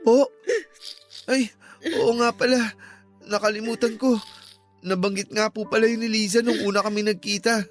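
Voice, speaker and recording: Man acting a high-voiced, wounded young son. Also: steady tone, normal speed, pauses often, soft background music, lightly acted. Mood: subdued. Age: young adult. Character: bewildered, hurt young man, a long-lost son. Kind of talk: Filipino radio drama acting.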